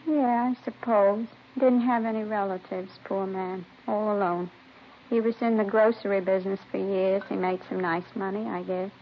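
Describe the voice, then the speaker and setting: Actress as a creaky-voiced, chatty matronly neighbor. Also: female voice, medium pitch, steady tone, normal speed, lightly acted. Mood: wistful, pitying. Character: chatty, matronly middle-aged widowed neighbor lady. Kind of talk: 1950s radio drama acting. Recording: dull old radio recording with hiss.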